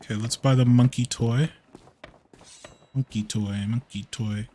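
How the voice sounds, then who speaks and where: A man chatting casually on a gameplay livestream in a halting way, often repeating his phrases. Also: medium pitch, fairly even intonation, dark tone, a little hiss or hum. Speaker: live streamer, VTuber. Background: game music.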